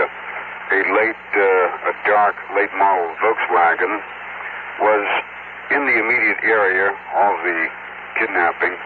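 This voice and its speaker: An American man giving an official press statement as a federal agent, in a halting manner. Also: deep voice. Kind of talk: press briefing. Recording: tinny phone-like clip, loud noise.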